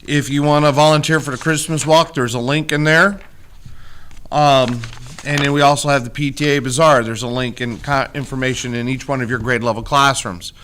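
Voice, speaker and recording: A man whose voice is starting to go hoarse gives informal school announcements, rallying listeners with check-in questions. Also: mid-range voice, fairly even intonation, moderate pace, a bit bright. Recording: clearly noisy background.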